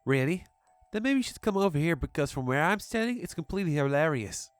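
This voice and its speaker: A woman acts out a bratty village boy from a horror story, somebody's older brother. The delivery is emphatic and highly animated, recorded dry with faint music underneath. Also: fast, no echo. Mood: mocking.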